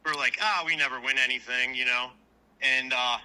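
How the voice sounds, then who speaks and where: A man from southwestern Pennsylvania, a prize winner on the phone, talking haltingly with many fillers and restarts. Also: mid-range voice, fairly even intonation, crowd noise, low-quality recording. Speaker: caller, giveaway winner. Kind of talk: phone conversation.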